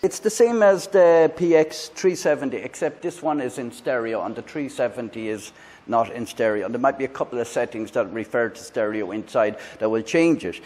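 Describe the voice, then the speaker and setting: A man with an Irish accent, hosting a casual product review on a lavalier mic, talking in a halting way with many fillers and restarts. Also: medium pitch, a little mellow. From Ireland. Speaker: tech reviewer, channel host. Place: indoors in a room with a fan, then outdoors by a busy road.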